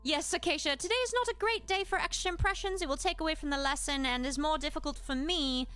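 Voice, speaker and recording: A woman's voice: high-pitched, fast, sharp tone, soft background music. A woman streamer speaking in a British accent, teaching a livestreamed lesson in a polite, explanatory, gracious manner. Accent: British, put on. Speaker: live streamer and host giving a lesson. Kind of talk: livestreamed educational lesson with chat replies.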